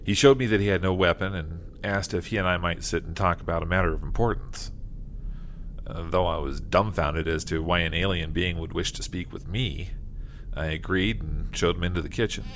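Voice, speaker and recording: A man's voice: sits low, fairly even intonation, normal speed, a bit bright, a little hiss or hum. A man playing a plain-spoken, troubled farmer of fifty-one, telling his story in an audio drama with folksy, hesitant earnestness. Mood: earnest, troubled. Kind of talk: first-person audio drama narration. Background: music.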